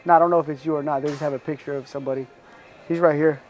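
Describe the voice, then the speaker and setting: A man's voice: fairly high pitch, fairly even intonation, very fast, over music. A man in casual improvised prank banter, playing a store employee, insistently prodding and repeating himself. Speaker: prankster posing as store employee with walkie-talkie.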